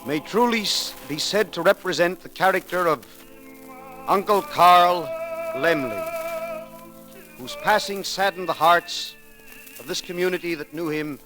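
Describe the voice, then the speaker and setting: A man delivering a solemn, oratorical eulogy in formal measured phrases on a 1930s radio recording. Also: high voice, rough recording. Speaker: eulogist at a memorial service. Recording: 1939 radio recording with faint music.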